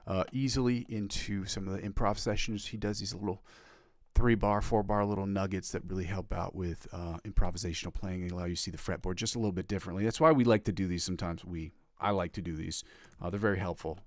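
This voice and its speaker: Fast-talking congested man live streaming. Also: steady tone, dry recording, studio quality. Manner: rambling, filler-heavy, upbeat pitch to viewers. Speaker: guitar livestreamer. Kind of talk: casual live stream chat.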